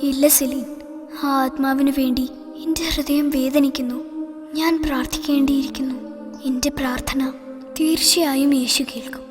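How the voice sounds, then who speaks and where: High-voiced devout teenage girl played by a woman. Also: little pitch movement, normal speed, music in the background, natural voice. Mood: earnest, pained. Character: devout, tender, earnest fourteen-year-old girl.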